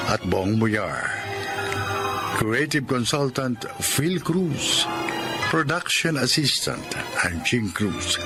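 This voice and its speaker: Radio drama narrator, measured, slow, animated pitch. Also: male voice. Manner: measured announcer reading of credits. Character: working-class man, charcoal maker and father. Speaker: radio drama narrator and neighbor charcoal maker. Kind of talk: 1990s Filipino radio drama. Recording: radio drama with loud music.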